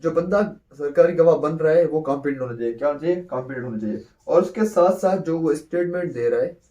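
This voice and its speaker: A man's voice: a little high, quick pace, dark tone, far from the mic, lo-fi sound. A man teaching a law lecture, who repeats points and answers his own questions. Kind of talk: explanatory lecture, mixing in English terms.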